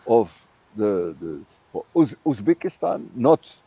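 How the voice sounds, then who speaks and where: Older Moroccan man, halting low voice. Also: very animated, dark tone, husky, no echo. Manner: hesitant, many fillers and restarts. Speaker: visiting professor of tourism. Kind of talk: halting interview answers in English.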